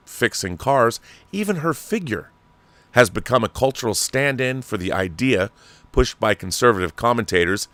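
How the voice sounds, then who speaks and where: A man with an American accent reads an article aloud as a talk show host, phrasing deliberately and leaning on each item in a list. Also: on the low side, a bit bright, no room sound. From Washington state, United States. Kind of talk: reading an article aloud with asides.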